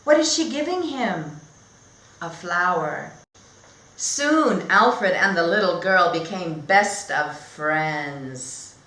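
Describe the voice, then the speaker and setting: Slow-speaking woman teaching in an echoey room. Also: lowish voice. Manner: expressive, simple phrasing for learners. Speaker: teacher trainer. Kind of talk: read-aloud storytelling and teaching tutorial.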